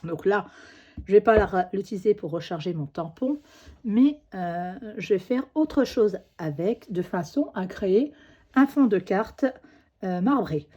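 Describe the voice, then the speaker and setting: Woman with a cold, husky. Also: mid-range voice, animated, unhurried, dark tone. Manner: chatty, fillers like "hop" and "euh" while working. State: has a cold, coughing. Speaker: crafting demonstrator. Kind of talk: crafting tutorial.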